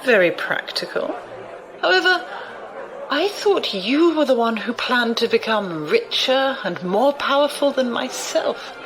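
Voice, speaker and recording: A woman's voice: fairly high pitch, lively intonation, unhurried, poor audio. A woman playing an imperious, shrewd, wealthy older noblewoman in an audio drama, commanding and condescending. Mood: amused, superior.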